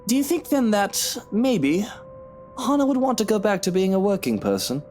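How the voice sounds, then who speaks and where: A man's voice: sits high, very animated, normal speed, a little mellow. A man playing a cheeky, moody married man in a visual novel game, teasing and informal with British-style slang, over music. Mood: musing, earnest. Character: cheeky, moody married man, musing about his wife. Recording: music behind the voice.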